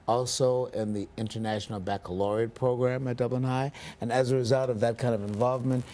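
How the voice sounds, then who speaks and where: A man hosting a local TV interview, speaking in a measured, formal way with frequent ums. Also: mid-range voice, a little mellow. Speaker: TV interview host.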